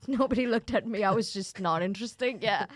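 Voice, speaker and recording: A woman's voice: on the high side, normal speed, dry recording. A young woman comedian from Kuwait chatting casually, laughing often and swearing freely. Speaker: comedian guest. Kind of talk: casual podcast chat.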